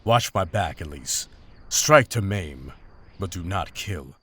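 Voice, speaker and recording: A man's voice: sits low, expressive, takes it slowly, sharp tone, slightly rough, heavily acted. A man playing a grave, principled monster hunter in a fantasy visual novel, speaking in terse, formal lines. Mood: firm warning. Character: stern, principled monster hunter, weary and commanding.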